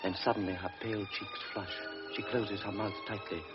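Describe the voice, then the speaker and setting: A man narrating a literary radio drama in a deliberate, solemn manner. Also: fairly low pitch, fairly even intonation, close to an everyday voice. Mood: measured. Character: measured storyteller of a pre-war tale. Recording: dull vintage radio recording with music.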